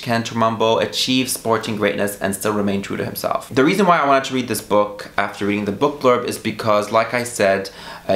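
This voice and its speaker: A man's voice: lowish voice, a bit bright, reverberant. A man who speaks Arabic as a first language, chatting casually to camera about books he bought, enthusiastic and a little hesitant with small hedges. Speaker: book vlogger. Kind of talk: casual book haul chat to camera.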